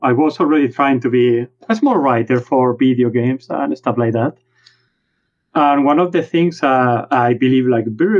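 A man with a Spanish accent who writes about board games, talking in a casual, animated interview with some restarts. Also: medium pitch. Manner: animated, self-deprecating, frequent restarts. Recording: dull lo-fi remote audio.

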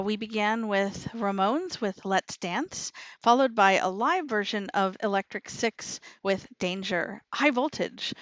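A woman's voice: mid-range voice, fairly bright tone, no room sound, highs cut off. A woman co-hosting a radio show in a cheerful, chatty manner. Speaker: radio co-host. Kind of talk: casual radio chat.

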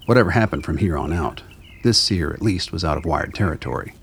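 A man with a gravelly, husky voice narrating a scripted radio drama. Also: low-pitched, animated, fairly quick.

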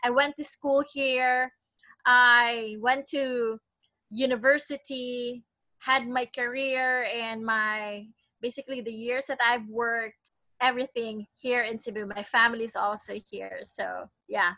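A young Filipino woman speaks with a Filipino accent in a bright, slightly high voice. She chats cheerfully, with easy laughs. The sound is dry and close. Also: steady tone, measured pace, no room sound. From Philippines. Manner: chatty and cheerful, with light laughs. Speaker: interview guest, attorney. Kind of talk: casual interview.

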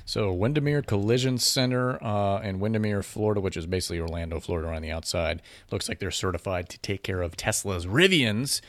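A man with a low voice co-hosts a casual boxing podcast, talking easily and throwing in promotional plugs. He's on a studio mic with headphones in a small podcast room, and the sound is close and dry. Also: a little fast, hardly any pauses, a bit bright, no echo. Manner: promotional, plugs sponsors and events. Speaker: podcast co-host.

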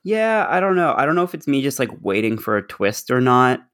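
A man's voice: mid-range voice, big pitch swings, a little fast, fairly bright tone, clean tone. A man co-hosting a podcast, talking casually in a laid-back, tentative way. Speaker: podcast co-host. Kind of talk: casual discussion.

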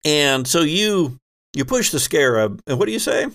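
A man hosting a tabletop role-playing game as game master, improvising narration and often pausing mid-sentence to find his words. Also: fairly high pitch, expressive, fairly bright tone.

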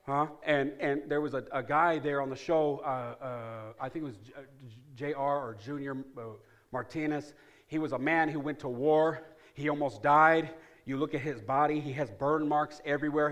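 A middle-aged man preaching a sermon as pastor, repeating phrases for emphasis and swinging from loud calls to hushed asides. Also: medium pitch.

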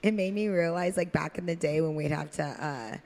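Casting: female podcast guest, slightly low voice, informal and conversational. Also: brisk, vocal fry, a little mellow. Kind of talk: casual chat.

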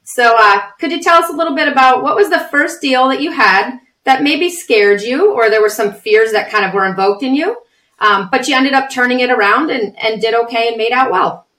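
A woman's voice: on the high side, animated, a little fast, sharp tone. A woman co-host reads out the guest's background and then puts a question to him. She sounds set back from the microphone, and a roomy echo hangs around her voice. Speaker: podcast co-host. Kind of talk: reading a guest bio. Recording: roomy echo, distant from mic.

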